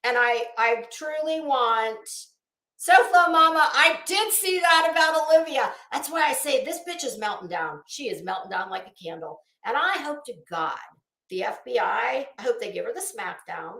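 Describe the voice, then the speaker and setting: A woman's voice: fairly high pitch, lively intonation, moderate pace, creaky, bright, forceful tone. An American woman livestreamer giving casual, profane commentary in a scornful, sarcastic manner, leaning hard on emphatic delivery. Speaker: online livestream host. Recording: roomy, echoing sound.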